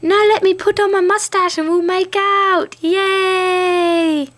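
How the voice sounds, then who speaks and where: A woman voicing an excitable, nagging, silly cartoon creature with whiny, drawn-out calls. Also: very high-pitched, voice pushed up, full character voice. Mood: silly, excited.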